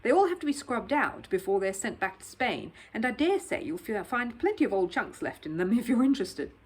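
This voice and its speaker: Woman as a brisk, husky factory foreman. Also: on the high side, big pitch swings. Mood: practical. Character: brisk, fair-minded working male factory foreman.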